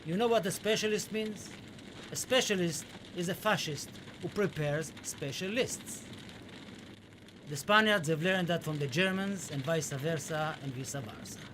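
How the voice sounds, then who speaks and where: Haunted poet, acted by a man, over loud noise. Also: a little high, lightly acted. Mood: grave, anxious. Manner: dramatic, poetic, deliberate phrasing. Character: haunted Spanish poet, a man, recounting fascist terror. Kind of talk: radio play monologue with recited poetry.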